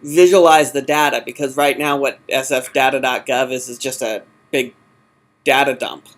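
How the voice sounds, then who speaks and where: A man answering as a job candidate in an informal interview, halting and often correcting himself. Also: medium pitch, fairly even intonation. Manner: halting, hesitant, self-correcting. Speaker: job candidate in user experience design.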